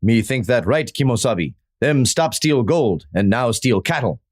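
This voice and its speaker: A man's voice: medium pitch, expressive, normal speed, darkish tone, lightly acted. A man playing a stoic, loyal tracker sidekick in a western radio play, speaking in clipped pidgin. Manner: clipped pidgin speech, dropping small words.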